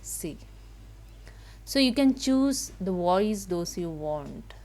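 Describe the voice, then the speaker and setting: A woman giving a step-by-step software tutorial in halting, simple non-native English, with noise behind her. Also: fairly low pitch, lively intonation. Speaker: tutorial host. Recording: noticeable background noise.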